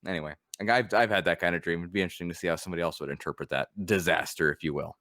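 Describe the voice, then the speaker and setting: A man's voice: deep voice, rapid-fire, sharp tone, no echo, studio quality. A man co-hosting a podcast, rambling loosely and laughing as he talks. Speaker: podcast co-host. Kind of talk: casual chat.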